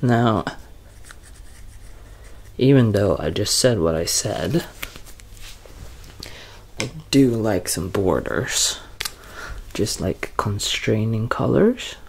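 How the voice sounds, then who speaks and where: A soft-spoken young man in an ASMR roleplay as a quirky, deadpan doctor, hesitant and halting, sometimes drifting toward a whisper. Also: fairly low pitch, gravelly, husky. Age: young adult. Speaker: ASMR performer playing a bumbling doctor. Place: small curtained room at home, indoors.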